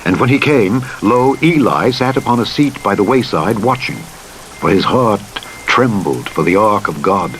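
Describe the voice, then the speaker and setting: A man narrating the Bible in a solemn, measured storytelling style, acting out the dialogue as he reads. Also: expressive, a bit bright, poor audio. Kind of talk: scripted scripture reading with dramatized dialogue. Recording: rumbling truck and rain noise over playback. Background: vehicle rumble, rain, simulator truck sounds.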